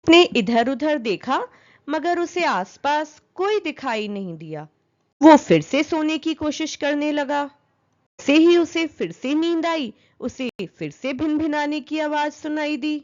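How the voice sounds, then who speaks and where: A woman narrating a children's story as an expressive storyteller. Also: high voice, takes it slowly, dull audio.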